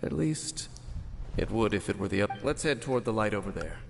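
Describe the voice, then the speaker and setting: A grave, world-weary knight from an anime game. The low voice speaks in formal, measured phrases. It rings with long hall reverb over music. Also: male voice, expressive, gravelly. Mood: coolly authoritative. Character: solemn ancient knight, world-weary male guide. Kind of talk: anime video game voice acting.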